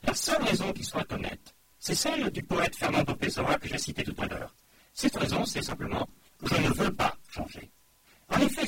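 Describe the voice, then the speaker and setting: A middle-aged man preaches a measured, explanatory sermon, and his voice sits very high with a lively rise and fall. A loud background noise runs under the whole recording.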